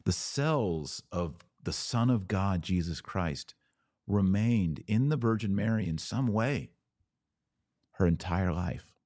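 A man's voice: deep voice, animated, takes it slowly, a little mellow, dry recording, clean studio sound. A man hosting a religious podcast, talking informally and emphatically, often with rhetorical questions and repeated phrases.

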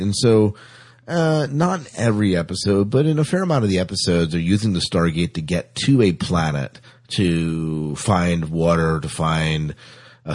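A man's voice: sits low, husky. A man hosting a podcast in a casual, chatty way. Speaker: podcast host.

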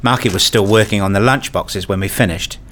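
A man with a fairly low voice narrates a radio drama in the first person. His delivery is measured and understated, with a literary feel. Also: a bit bright. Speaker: narrator and lead character, a father.

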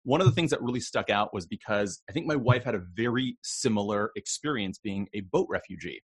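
A male podcast host with a husky voice who asks friendly, rambling questions in a casual interview. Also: on the low side, expressive, brisk.

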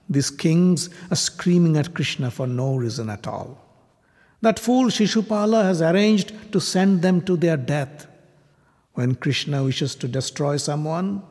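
A man voicing a wise old warrior-patriarch who speaks in a grave, measured way. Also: fairly high pitch, a little mellow. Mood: reassuring. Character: calm, wise, aged male warrior-patriarch. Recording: long hall reverb.

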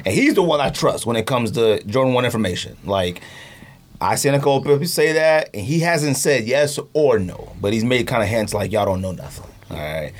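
A man's voice: medium pitch, animated, fairly quick. A man hosting a casual podcast, talking in slang and telling stories with acted-out quotes. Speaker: podcast host. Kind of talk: casual chat.

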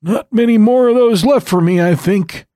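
A man's voice: high voice, normal speed, warm tone, no echo, clean studio sound, voice pushed up, lightly acted. A man voicing a frail, rambling old grandfather who tells a horror story in the first person. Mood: wistful.

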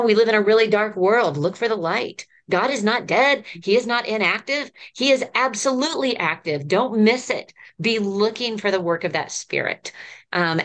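A woman's voice: mid-range voice, fairly bright tone. A woman in her fifties, a children's ministry professor, telling stories in an earnest, enthusiastic way as a podcast guest. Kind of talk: podcast conversation.

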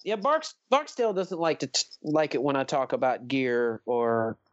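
A man's voice: medium pitch, animated, normal speed. A man in his fifties co-hosting a podcast from home, chatting casually and rambling, tacking "man" onto his sentences. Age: 50s. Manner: laid-back, rambling, says "man" a lot. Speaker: podcast co-host, drummer. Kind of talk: casual chat. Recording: slightly muffled sound.

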